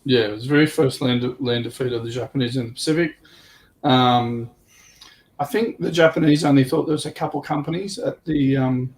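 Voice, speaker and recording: A man on a livestream panel, telling stories casually and repeating himself in a halting way as he explains. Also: medium pitch, darkish tone, poor audio. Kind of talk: casual chat, informal storytelling.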